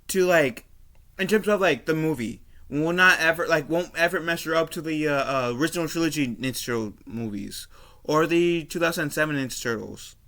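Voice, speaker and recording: A man giving casual opinion commentary as a video host, halting and often repeating and rephrasing himself. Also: a little high, pushed and bright. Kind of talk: casual opinion commentary, reading an article aloud.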